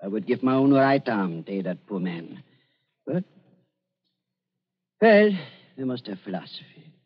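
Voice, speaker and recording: An actor playing an elderly German doctor in a radio drama, fawning and falsely soft-hearted, with halting, repetitive speech and a German accent. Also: male voice, on the low side, big pitch swings, slightly rough, heavily acted. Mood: oily, genial. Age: older. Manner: fawning, halting, repeats words. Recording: dull lo-fi radio recording.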